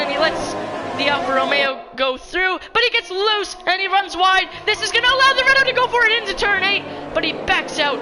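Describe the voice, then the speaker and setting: A woman commentating a sim race live, excitable and slightly hoarse from losing her voice. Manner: excitable, rising pitch in close battles. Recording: loud crowd and engine noise behind. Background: race car engines and crowd ambience.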